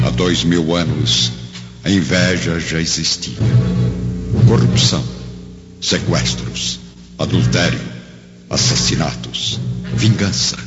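A Brazilian man with a very low voice delivers solemn, dramatic narration for a radio drama. His words come slowly, with weighty pauses, and a long hall reverb trails behind them. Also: highs cut off. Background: prominent background music.

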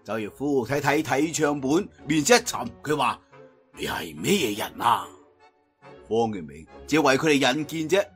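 A man's voice: a little high, big pitch swings, fairly quick, hardly any pauses, breathy edge, faint music behind. A man with a Cantonese accent telling a story in a dramatic traditional audiobook style.

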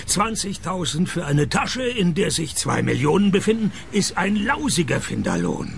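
A cynical, world-weary private detective with a gravelly, fairly high voice that swings lively up and down. He tosses off dry, sardonic wisecracks in a radio play, with faint music behind him. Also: moderate pace, slight background noise, full character voice.

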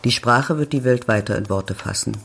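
A performer in a literary radio drama, playing a solemn narrator who recites idioms and reflections sentence by sentence, deliberately. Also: medium pitch, creaky, a bit bright, a little hiss or hum. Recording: faint music behind the voice.

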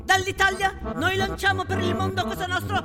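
A woman's voice: very high voice, monotone, a little fast, over music, pitched above the performer's own voice. Woman as a strident, bellowing male futurist orator. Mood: strident fervour. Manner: strident, bombastic, declamatory. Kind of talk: theatrical declamation in a stage monologue.

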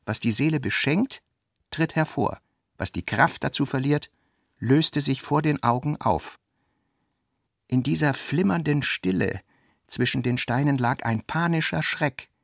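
A man reading scripted literary narration for a radio production, with a creaky voice in a measured, reflective manner. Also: medium pitch, dry recording, clean studio sound.

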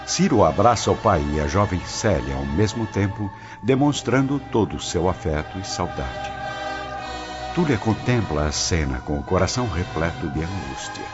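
Deep voiced guy narrating a radio drama, solemn and dramatic, music behind, lo-fi. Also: expressive, normal speed. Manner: solemn, theatrical. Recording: dull lo-fi recording. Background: loud musical score.

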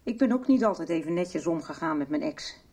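Frank, husky woman, lively intonation. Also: low-pitched, brisk. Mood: earnest advice. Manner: chiding, frank, colloquial. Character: blunt, bossy, sensible adult woman in a household. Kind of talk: radio soap acting.

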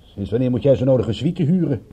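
An actor in a Dutch radio play voicing a hard-up private detective who is direct, dry and sardonic. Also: male voice, mid-range voice, animated, a little fast, mellow, slight background noise, lightly acted. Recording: muffled old radio recording, faint music.

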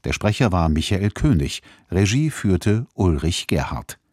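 A male radio announcer delivers scripted credits. His voice sits slightly low and moves with lively intonation, in a dry, close-sounding recording. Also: a little slow, no echo.

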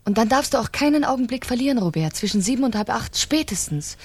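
An actress playing a cold, calculating wife who plots in a precise, commanding manner in a German radio crime drama. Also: female voice, fairly high pitch, expressive, quick pace, husky, no room sound. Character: cold, calculating French wife plotting her husband's murder.

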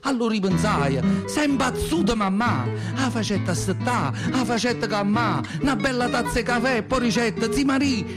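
An ancient peasant mother from a radio play, speaking in a southern Italian accent. The voice sits high, and the delivery is folksy, emphatic and stubbornly plainspoken. Guitar music plays behind the monologue. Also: lively intonation, normal speed, hardly any pauses. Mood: indignant, griping. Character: nearly hundred-year-old southern Italian peasant mother, plainspoken, feisty. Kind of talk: theatrical radio monologue in dialect.

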